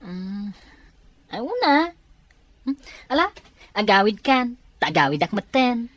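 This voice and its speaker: A woman's voice: fairly high pitch, very animated, slow, fairly bright tone, dry recording, exaggerated voice. A woman voicing a casual male friend who banters and prods, in an audio drama. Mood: joshing.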